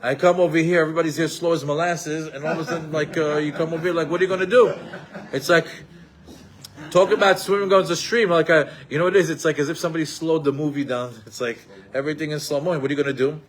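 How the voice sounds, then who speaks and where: A man teaches a Torah class in an animated, emphatic way. His voice sits somewhat high for a man. He quotes Hebrew texts and then explains them in English. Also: fast talker. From Israel, raised in New York.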